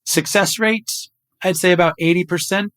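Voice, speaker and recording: A man's voice: sits high, moderate pace, fairly bright tone, clear, resonant tone. A man hosting a podcast, asking long, thoughtful questions in a casual chat. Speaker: podcast host.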